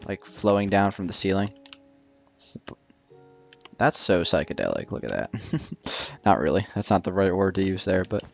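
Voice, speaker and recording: A man's voice: sits low, expressive, normal speed, creaky, long pauses, highs cut off, soft background music. A young man casually commenting while building in a video game, rambling and joking with lots of fillers. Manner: rambling, jokey, many fillers. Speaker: gaming channel host.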